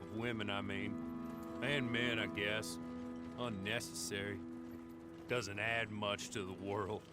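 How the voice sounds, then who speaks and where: A sour, grumbling guard. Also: male voice, fairly low pitch, little pitch movement, slow pace, long pauses, over loud music. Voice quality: gruff, sardonic rambling. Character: grumbling, sour middle-aged male guard. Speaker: prison guard. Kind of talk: video game voice acting.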